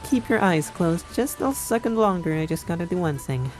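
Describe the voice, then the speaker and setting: Actress as a lively, teasing young love interest. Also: female voice, fairly low pitch, moderate pace, faint music behind, lightly acted. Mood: teasing, reassuring. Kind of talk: visual novel game acting.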